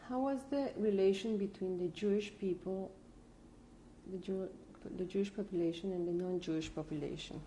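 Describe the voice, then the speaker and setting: A woman's voice: medium pitch, normal speed, mellow, slight background noise, rough recording. A woman conducting an oral history interview, formal and careful, spelling out names.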